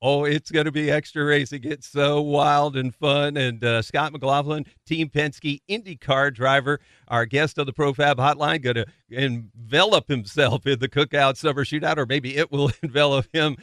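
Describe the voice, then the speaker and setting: A man's voice: fairly high pitch, fairly even intonation, moderate pace, hardly any pauses, fairly bright tone, no echo, studio quality. A man hosting a motorsport radio show, interviewing and reading sponsor plugs in a folksy, playful, wordy way.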